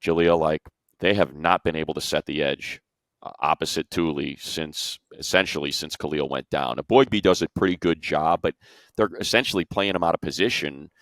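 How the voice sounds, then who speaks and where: A man's voice: rumbling low, moderate pace, a bit bright, dry recording. A man chatting casually as a sports commentator, informal and conversational, running through his analysis in long stretches. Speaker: sports radio commentator and show panelist.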